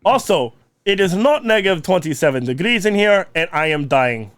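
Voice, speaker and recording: A man's voice: high voice, sing-song, moderate pace, a bit bright, no room sound. A man in his thirties co-hosting a comedy podcast in a hammy put-on mock Russian accent, dropping little words as he jokes. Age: 30s. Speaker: podcast co-host.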